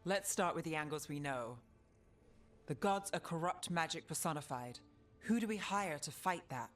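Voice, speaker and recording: A woman's voice: sits low, lively intonation, moderate pace, faint music behind. An actress voicing a confident female detective in a video game, businesslike and clipped. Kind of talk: video game dialogue acting.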